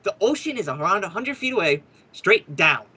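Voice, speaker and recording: A man's voice: high voice, very animated, moderate pace, sharp tone, husky, exaggerated voice. A man acting a brash, wisecracking ghost hunter who hosts a radio program, in a chatty, showy old-time radio drama style.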